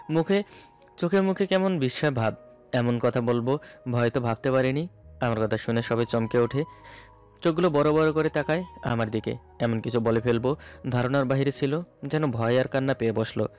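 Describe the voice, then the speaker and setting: A man's voice: mid-range voice, a little fast, a little mellow. A man narrating a romantic story in the first person, in a dramatic storytelling style. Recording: music bed under the voice. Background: background music.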